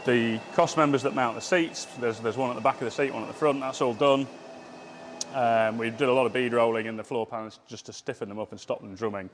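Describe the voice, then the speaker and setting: A man with a cold and a hoarse voice, casually walking through a car build in a workshop with plenty of ums. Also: medium pitch, brisk, a little hiss or hum. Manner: relaxed, lots of ums.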